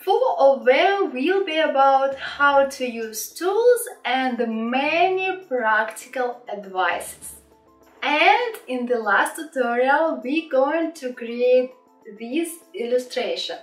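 A young woman with a Russian accent, teaching beginners graphic design software in friendly, simple English with small slips, from a small home office. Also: high-pitched, lively intonation, slow, echoey room, far from the mic, soft background music, low-quality recording.

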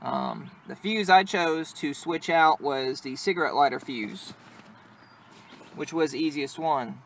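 Higher-pitched man, casual hands-on how-to. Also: measured pace, vocal fry, a bit bright. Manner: plain-spoken, hands busy while talking. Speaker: amateur video maker giving a how-to. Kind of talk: casual improvised tutorial talk. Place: in and around a pickup truck cab. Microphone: handheld phone or camera close to the work. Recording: noisy handheld recording, vehicle ambience.

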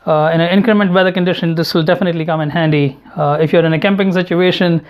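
A man narrating an unboxing and product review in a measured, matter-of-fact way. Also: on the high side, steady tone, dull audio. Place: indoor room at home, at a table. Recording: home recording with clear background noise. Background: noise, handling clicks.

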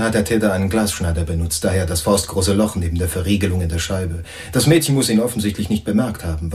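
An actor playing a police sergeant in a radio crime play, dry, clipped and factual. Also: male voice, fairly low pitch, a little mellow, natural voice. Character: matter-of-fact male police sergeant reporting findings. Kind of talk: German radio crime drama acting.

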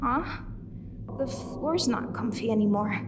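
A woman's voice: a little high, animated, measured pace. A woman voicing a tired, stubborn cartoon character with a dry, grumbling manner. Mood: weary. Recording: clear background noise.

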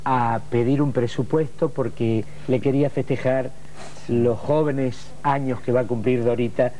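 An Argentine man in his sixties tells personal memories as a talk show guest. His tone is dark and relaxed, over slight studio broadcast noise. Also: mid-range voice, measured pace, poor audio. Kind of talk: conversational reminiscence. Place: television studio.

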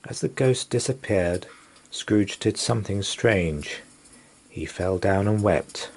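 Slow, deep-voiced male storyteller. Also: husky. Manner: deliberate, expressive, theatrical delivery. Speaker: storyteller reading a children's book aloud. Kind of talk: dramatic rhyming bedtime story narration.

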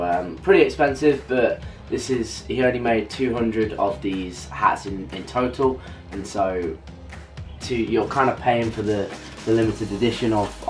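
A man in his twenties with a British accent chats casually and haltingly to camera as a vlogger, trailing off at the ends of phrases. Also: lowish voice. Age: 20s. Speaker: vlogger unboxing a purchase. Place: small bedroom, later a bathroom. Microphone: camera a couple of metres away.

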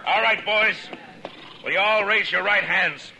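An actor playing a firm, dry Old West marshal in a 1950s radio western, speaking with deliberate authority. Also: male voice, high voice, bright, forceful tone, breathy edge, full character voice, poor audio. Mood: stern, commanding. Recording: hissy 1950s radio broadcast.

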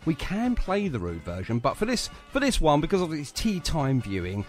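A man presents a casual radio interview in an enthusiastic, chatty way. His voice sits a little high and swings around in a lively fashion, with music in the recording. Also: moderate pace.

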